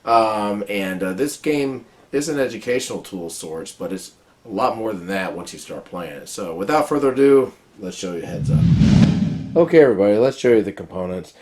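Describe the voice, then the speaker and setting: Board game reviewer, male, low and warm, a touch raspy with a cold, casual and chatty. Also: fairly quick. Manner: relaxed, chatty explaining with many fillers. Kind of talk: casual how-to-play review.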